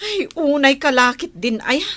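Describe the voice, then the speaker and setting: A woman's voice: high-pitched, measured pace, no room sound. A woman playing a fretful, complaining village farm woman in an Ilocano radio comedy drama. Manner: animated, complaining.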